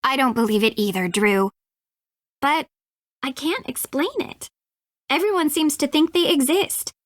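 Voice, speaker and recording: The prickly young American sidekick in a point-and-click mystery game, sarcastic and defensive, fairly high voice in a bone-dry booth. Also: female voice, unhurried, no room sound. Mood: exasperated. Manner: sarcastic, clipped, emphatic. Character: snippy, defensive young woman, grudgingly asking for help. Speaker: video game character, voice actress. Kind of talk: acted dialogue in an adventure game.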